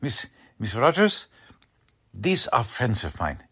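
A man's voice: medium pitch, huge pitch swings, takes it slowly, creaky, fairly bright tone, breathy edge, full character voice. A man playing an anxious, principled middle-aged German-American father in a 1940s-style radio drama, grave and earnest. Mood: worried, pleading. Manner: grave, earnest, formal phrasing. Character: anxious German-American family patriarch, urgent but principled.

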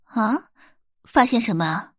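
Lady doing a chatty curious mom in audio drama, exclaiming lots, lively swoopy voice. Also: fairly high pitch, measured pace, breathy edge, no room sound, clean studio sound. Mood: surprised. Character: curious, easily surprised middle-aged wife and mother.

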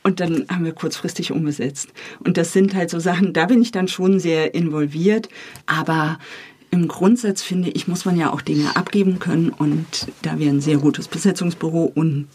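A middle-aged woman with a slightly husky voice, talking in an engaged, explanatory way as an interview guest about her work. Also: low voice, steady tone, normal speed, darkish tone. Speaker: radio editor and radio drama author, interview guest. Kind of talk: lively explanatory interview talk.

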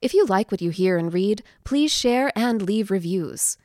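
A woman's voice: medium pitch, expressive, a little slow, a little mellow, no echo, clean studio sound. A woman presenting for a publisher, reading a scripted promotional announcement.

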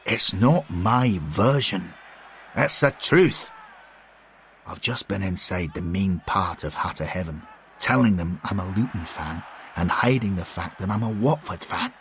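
A man's voice: deep voice, unhurried, fairly bright tone, a little hiss or hum. A man voicing a pompous, indignant male football club man who huffs and explains himself with exasperation. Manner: exasperated, huffy, insulting.

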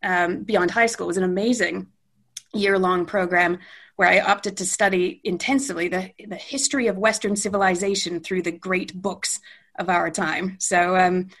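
A woman's voice: fairly low pitch, steady tone, normal speed, a bit bright. A middle-aged Canadian woman, speaking off the cuff in a thoughtful, meandering way with many self-corrections. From Western Canada. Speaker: guest. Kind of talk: unscripted interview talk.

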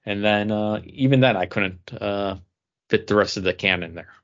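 A man with a fairly low voice chats casually as a podcast host. The sound is a little dull and lo-fi. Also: normal speed. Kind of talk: casual chat. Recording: slightly dull, lo-fi recording.

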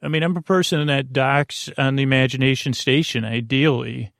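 A man hosting a bedtime podcast, meandering and halting as he interrupts himself and drifts through a rambling monologue with a creaky voice. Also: medium pitch, fairly even intonation, fairly bright tone, no echo, clean studio sound. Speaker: podcast host.

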